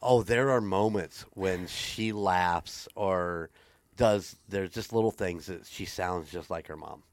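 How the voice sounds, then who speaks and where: A man's voice: low-pitched, slow pace, no room sound. A man co-hosting a podcast, chatting casually and joking, rambling with restarts. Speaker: podcast co-host. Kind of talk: casual chat.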